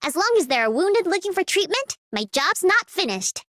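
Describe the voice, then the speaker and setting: A woman's voice: very high voice, very animated, moderate pace, a bit bright, dry recording, clean studio sound, heavily acted. A woman voicing a diligent, driven young female medic in a game. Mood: busy, determined. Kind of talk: scripted game voice lines.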